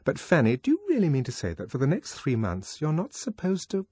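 A man's voice: fairly high pitch, wildly swooping pitch, brisk, darkish tone, breathy edge, dry recording, pitched above the performer's own voice, exaggerated voice. Guy reading aloud as a stubborn young woman talking back, voice raised a bit and bouncing around, dry. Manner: protesting, truculent. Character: defiant young woman defending herself. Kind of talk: audiobook character voice.

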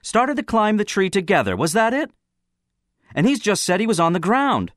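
A man's voice: very high-pitched, sing-song, measured pace, no room sound, studio quality, pitched above the performer's own voice, heavily acted. A man voicing an insistent teenage boy who questions someone pointedly from a tribunal platform in an audiobook. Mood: harsh. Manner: pointed, probing, harsh questioning.

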